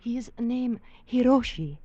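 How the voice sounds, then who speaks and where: Tender, sorrowful young island woman: breathy, slow, halting broken English, dull vintage radio sound. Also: fairly high pitch, lively intonation, dark tone, dry recording. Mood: simple. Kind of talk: 1940s radio acting. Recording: dull old-time radio recording.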